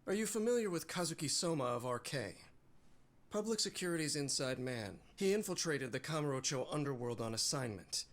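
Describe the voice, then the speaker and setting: A man's voice: on the high side, expressive, lightly acted. Composed, resolute male official played by an actor. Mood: firm, composed. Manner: measured, formal, assertive. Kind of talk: English video game dub, dramatic cutscene acting.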